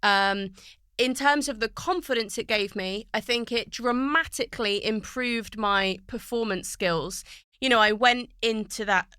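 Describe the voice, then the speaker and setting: A woman's voice: a little high, a little slow, sharp tone, clear, resonant tone, no echo, clean studio sound. A woman guest on a podcast, a poet and TV writer, telling her story in a fluent, reflective way. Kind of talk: casual podcast conversation. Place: recording studio.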